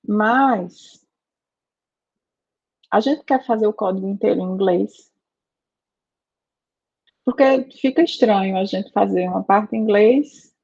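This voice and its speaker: A woman's voice: mid-range voice, darkish tone, breathy edge. A Brazilian woman teaching a programming class, talking things through with students and checking in with "né?" and "tá?". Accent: Brazilian Portuguese. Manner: conversational, frequent "né?" and "tá?" checks. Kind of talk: live online class, asking students questions. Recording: slightly dull lo-fi audio.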